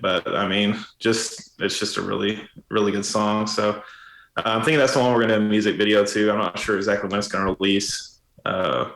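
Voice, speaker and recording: A raspy man with a somewhat low voice chats casually as a podcast guest. He rambles along in a relaxed way, full of fillers. His voice rings with a roomy echo. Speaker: musician guest.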